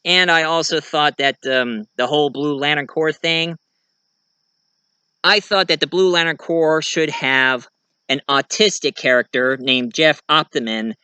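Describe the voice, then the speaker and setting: Male vlogger, clear crisp voice with a bright edge, casual, halting delivery. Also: on the high side, dry recording. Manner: halting, searching for words. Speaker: video reviewer and host of the channel. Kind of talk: casual talk to viewers about own writing.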